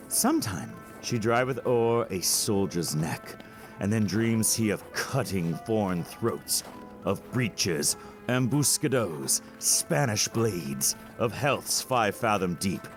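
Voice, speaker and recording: A witty, feverishly fanciful young man, played by a male actor in a Shakespearean audio play. He takes his time, teasing and theatrical, his lowish voice swinging widely in pitch as he spins a tale. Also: heavily acted. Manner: theatrical, storytelling, swooping delivery.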